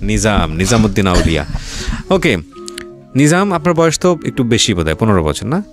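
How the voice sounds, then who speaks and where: A man's voice: on the low side, sing-song, moderate pace, over music. A man with a Bangladeshi accent hosting an interview, speaking informally and asking probing, prodding questions. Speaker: radio show host interviewing guests.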